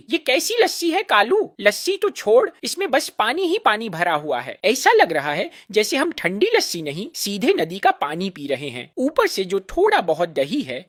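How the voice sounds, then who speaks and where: A woman's voice: medium pitch, dry recording, clean studio sound. A woman voicing a thirsty, grumbling customer bird in a cartoon, in a dramatic, complaining manner. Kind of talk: Hindi moral-story cartoon dialogue.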